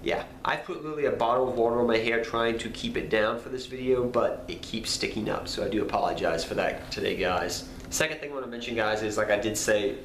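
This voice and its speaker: A man's voice: on the low side, quick pace, few pauses. A man with a cold, sounding nasal, talking casually and rambling to his channel viewers. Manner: rambling, repeats himself, apologetic. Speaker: card game channel host. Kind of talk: casual talk to camera. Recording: echoey room, faint background noise.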